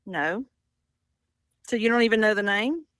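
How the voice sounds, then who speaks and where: A woman's voice: mid-range voice, animated, a little slow, a bit bright, dry recording, studio quality. A middle-aged woman in an unscripted phone conversation, speaking bluntly with incredulous, defiant pushback. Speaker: prank call target, a mother.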